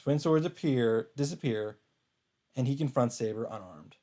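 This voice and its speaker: A man's voice: mid-range voice, moderate pace, dark tone. A man reading a visual novel aloud as a let's player, in dramatic narration. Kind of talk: dramatic read-aloud narration with casual asides. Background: game music.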